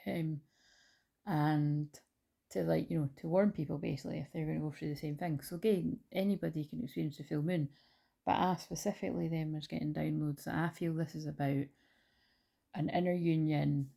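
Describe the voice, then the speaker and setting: A woman's voice: low-pitched, fairly even intonation, a little fast, creaky, warm tone. A woman hosting a spiritual channel, chatting casually into a phone she holds at home, rambling with many fillers and restarts. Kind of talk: casual talk to camera. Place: at home beside her dog. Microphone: phone held in hand.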